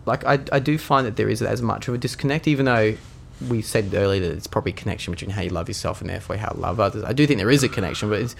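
Low gravelly man with a cold. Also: racing, hardly any pauses, slight background noise. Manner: earnest, rambling, many restarts. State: has a cold, voice deeper than usual. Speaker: podcast co-host. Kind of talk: reflective casual chat.

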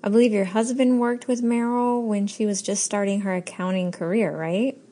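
A woman's voice: medium pitch, fairly even intonation, moderate pace, warm tone. A woman hosting a podcast, chatting in an upbeat, enthusiastic way with frequent fillers. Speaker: podcast host. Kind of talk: casual podcast chat.

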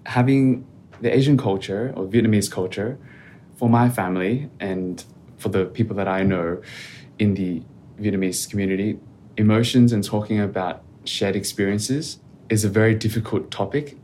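A man speaking as a podcast guest in a calm, reflective, measured way. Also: on the low side, a little hiss or hum. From Australia. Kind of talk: casual interview conversation.

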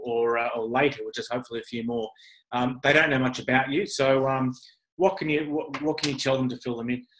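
A man's voice: medium pitch, a bit bright, low-quality recording. Man hosting a casual, rambling podcast. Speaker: podcast host. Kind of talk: casual chatty interview.

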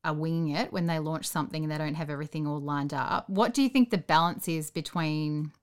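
Low-voiced woman interviewer. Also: brisk. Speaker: interviewer, podcast host. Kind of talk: casual conversational interview.

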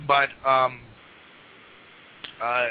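Hoarse man hosting a radio show. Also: medium pitch, level tone, very slow, a little hiss or hum. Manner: rambling, with many fillers and restarts. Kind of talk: casual call-in radio talk. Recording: muffled lo-fi radio broadcast.

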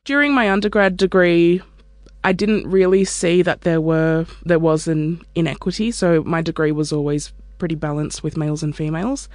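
This is a woman in her thirties, a scientist telling her own story in a relaxed, candid way. Her voice comes across close and dry, with no room sound around it. She talks things through reflectively, like she's thinking aloud. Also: on the low side, normal speed, dry recording. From Australia. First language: Turkish. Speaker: microbiologist and research scientist. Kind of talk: interview monologue.

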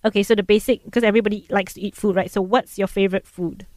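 A woman's voice: mid-range voice, fairly even intonation, fast, darkish tone, no room sound. Chatty self-deprecating woman learning Mandarin. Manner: self-deprecating, chatty, laughs at her own mistakes. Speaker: language learner. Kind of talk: casual language lesson.

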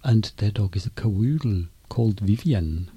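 A man's voice: lowish voice, animated, moderate pace, warm tone, husky, no echo, soft background music. A man from Switzerland with a Swiss German accent, hosting a conversational podcast interview.

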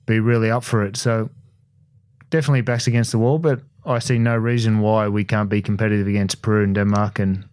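A man in his forties with an Australian accent and a fairly low voice, recorded dry and close. He gives his views as a pundit in a measured, plain way. He pauses with small hesitations before he commits to a point. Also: little pitch movement, darkish tone, dry recording. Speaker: former footballer, pundit. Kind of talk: casual sports punditry.

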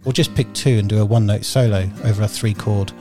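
A man's voice: lowish voice, steady tone, quick pace. A man who teaches guitar, talking as a guest on a radio show in a thoughtful, hesitant way full of fillers. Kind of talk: casual radio interview.

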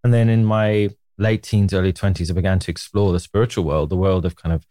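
Low-voiced man from Zimbabwe, reflective. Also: fairly even intonation, quick pace, mellow, no room sound, studio quality. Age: 40s. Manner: candid and reflective, laughs easily. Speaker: podcast guest, media co-founder. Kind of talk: relaxed interview storytelling.

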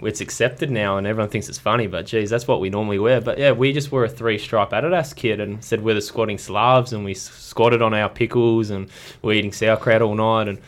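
Young man from Belarus, lowish voice, relaxed and reflective, a few ums. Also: brisk, few pauses. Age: young adult. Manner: easygoing, thoughtful, with frequent ums. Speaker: guest athlete. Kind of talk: casual chat.

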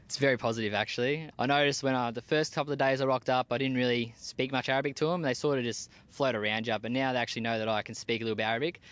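A young Australian man, a soldier, answering interview questions outdoors in a relaxed, plain-spoken way with army jargon and frequent "uh" fillers. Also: medium pitch, racing, few pauses, smooth, no echo. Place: outdoors at a military complex.

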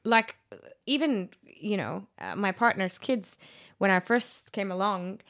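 A woman's voice: mid-range voice, measured pace. A young woman from Jordan, with Arabic as her first language, chats casually on a podcast. She tells stories with energy and slips into the voices of the people she quotes. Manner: animated storyteller, acts out quotes. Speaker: podcast co-host. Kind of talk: casual chat.

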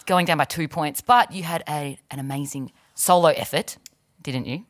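A woman's voice: sits low, moderate pace. A woman from South Australia with a South Australian accent, hosting a casual chat podcast, bubbly and teasing, laughing often. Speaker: podcast host.

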